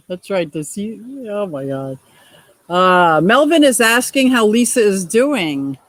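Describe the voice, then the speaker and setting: A woman in her sixties with a deep voice, hosting a casual livestream, reading viewer comments and laughing often in a gushing, playful way. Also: lively intonation, takes it slowly, no room sound. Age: 60s. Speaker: livestream host.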